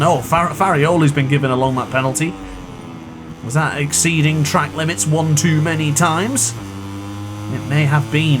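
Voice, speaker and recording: A man commentating his own racing game run in a chatty, excitable way, with a sore throat. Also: a little high, poor audio. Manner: excitable, chatty, exclaims at crashes. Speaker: gaming commentator. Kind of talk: live gameplay commentary.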